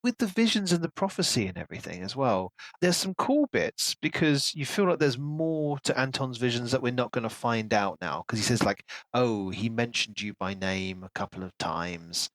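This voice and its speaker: A man's voice: mid-range voice, expressive, moderate pace, hardly any pauses, a bit bright, no room sound, rough recording. A man co-hosting a podcast, talking casually and analytically about a book as he thinks aloud. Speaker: podcast co-host.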